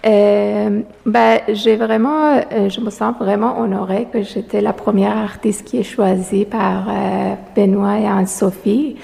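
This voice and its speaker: Hesitant gravelly-voiced woman, non-native. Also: mid-range voice, fairly even intonation, unhurried, hardly any pauses, warm tone. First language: not French. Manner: hesitant, many fillers and restarts. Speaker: exhibiting artist. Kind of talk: interview answers. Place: art gallery. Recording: long reverb of a gallery room.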